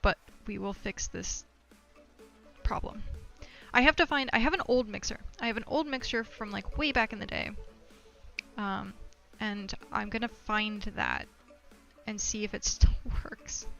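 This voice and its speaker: A woman's voice: medium pitch, a little slow, creaky, a bit bright, faint music behind. A woman livestreamer chatting casually to her viewers, rambling and thinking aloud as she goes. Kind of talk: casual chat to stream viewers. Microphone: streaming microphone.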